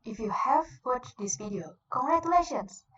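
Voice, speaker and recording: A woman's voice: sits high, expressive, moderate pace, a bit bright, distant mic, highs cut off. A woman with an Indonesian accent playing a composed leader of a secret society in a radio drama, explaining things formally. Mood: formal, welcoming. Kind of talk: radio drama, scripted address.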